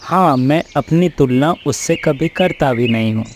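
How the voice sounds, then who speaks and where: A man's voice: medium pitch, a little slow, a little hiss or hum, lightly acted. Man voicing an earnest male cartoon forest animal. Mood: agreeing. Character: plain-spoken male forest animals in a children's cartoon fable.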